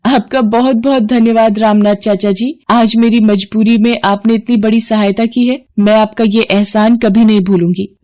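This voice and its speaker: A woman voicing a gentle, dutiful young wife in a storybook drama, speaking politely and earnestly. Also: medium pitch, natural voice. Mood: grateful.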